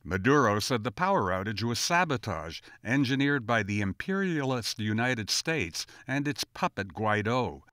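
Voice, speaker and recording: A man's voice: mid-range voice, big pitch swings, moderate pace, hardly any pauses, a bit bright, dry recording, studio quality. A man reporting international news in a scripted narration.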